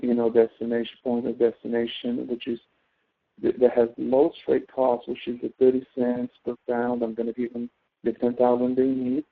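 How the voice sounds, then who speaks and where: A man's voice: medium pitch, completely flat delivery, normal speed. Male tutor on a tinny phone-like line. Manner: explanatory, often checks understanding with tag questions. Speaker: instructor, tutor. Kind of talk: step-by-step spreadsheet tutoring.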